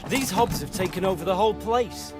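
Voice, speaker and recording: A man's voice: sits high, brisk, lightly acted. A man playing a wry, sarcastic young hero. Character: sarcastic young male hero driving a cart. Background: music.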